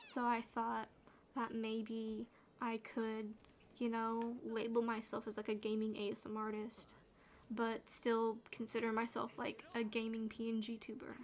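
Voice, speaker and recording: A woman gaming streamer with a naturally quiet, soothing voice, chatting in a loose, rambling way while she plays. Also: a little high, completely flat delivery, a little slow, few pauses, slight background noise. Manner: rambling, with fillers and restarts. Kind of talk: soft-spoken gaming chat.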